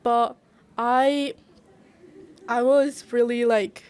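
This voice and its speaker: A woman's voice: a little high, fairly even intonation, very slow, darkish tone, smooth. A young woman, born in Brazil and raised in Japan, talks earnestly as a guest student in a casual interview, with many "like" fillers. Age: young adult. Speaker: guest, culinary arts student.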